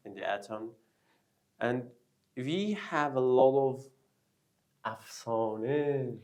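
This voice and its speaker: Slow, hesitant man with a Persian accent. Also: medium pitch, a little mellow. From Iran. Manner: slow, hesitant, with many pauses and fillers. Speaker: co-host. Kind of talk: casual chat.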